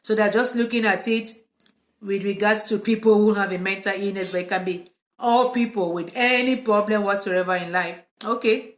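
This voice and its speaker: A woman with a Cameroonian accent speaks at a middling pitch in a relaxed, informal way, like a therapist hosting her own live vlog. The delivery is conversational and unscripted. The room around her adds a clear echo to the voice. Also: normal speed. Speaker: psychotherapist hosting a live video. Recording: echoey, reverberant room.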